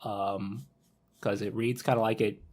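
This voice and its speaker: A man in a casual podcast discussion, laid-back and often halting to correct himself. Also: fairly low pitch, fairly even intonation, darkish tone. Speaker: podcast panelist.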